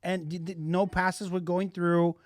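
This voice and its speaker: A man's voice: high-pitched, unhurried. Chatty man co-hosting a soccer podcast. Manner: excitable, repeats himself, mixes Spanish into English. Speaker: podcast co-host. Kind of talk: casual sports chat.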